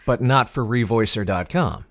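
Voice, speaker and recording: A man's voice: medium pitch, normal speed, a bit bright. A man, interviewing conversationally as host into a close microphone. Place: home office. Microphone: boom-arm microphone with headphones.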